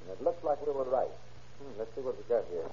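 An actor playing an eager, matter-of-fact police detective in a radio crime drama. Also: male voice, mid-range voice, lively intonation, quick pace, dark tone, close to an everyday voice. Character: eager male police detective, middle-aged. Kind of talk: 1930s radio acting. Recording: dull vintage radio recording with faint hiss.

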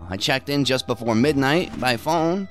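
A man's voice: mid-range voice, normal speed, fairly bright tone, faint music behind, natural voice. An actor playing a cynical, irritated son of a wealthy man in an audio drama, with a scornful, dismissive manner. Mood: guarded, wistful. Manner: scornful, dismissive, chuckling sarcasm. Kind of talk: naturalistic audio drama acting.

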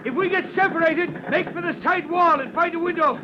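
A level-headed veteran firefighter in a vintage radio adventure, barking gruff orders in a very high-pitched voice. The recording is muffled and full of noise. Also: male voice, brisk. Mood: urgent, commanding. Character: seasoned, level-headed firefighter, commanding older partner of a rookie. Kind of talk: old-time radio adventure serial acting.

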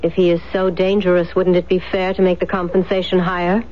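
An actress playing a cold, vengeful, sardonic wife in a 1970s radio drama, measured and icily polite. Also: female voice, lowish voice, flat delivery, lightly acted. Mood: mock sweetness. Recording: dull, faintly noisy 1970s radio recording.

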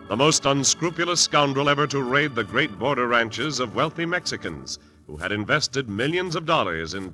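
A man's voice: medium pitch, bright, forceful tone, poor audio. An American man narrating an old-time radio adventure as announcer, in a dramatic, scripted style. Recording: old radio broadcast with organ music. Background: organ music.

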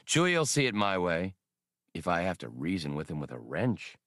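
A man playing a brash, low-voiced smuggler. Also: sing-song, moderate pace, a bit bright, no echo, studio quality. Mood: brash, irritated. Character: Han, brash cocky male smuggler, stubborn and defensive. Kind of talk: audiobook character dialogue.

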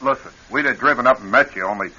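A male actor playing a nervous, evasive American brother-in-law in a radio drama, hesitant and stumbling, with a husky voice. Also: mid-range voice, fast, bright, forceful tone, slight background noise. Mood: awkward friendliness. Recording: dull vintage radio recording.

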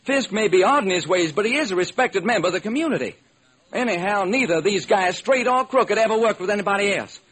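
High-voiced, husky police lieutenant. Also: male voice, expressive, quick pace, fairly bright tone, exaggerated voice. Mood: earnest, baffled. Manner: slangy, earnest, colloquial. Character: baffled, folksy police lieutenant, adult man. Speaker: actor. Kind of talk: old-time radio detective drama acting. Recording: noisy vintage radio recording.

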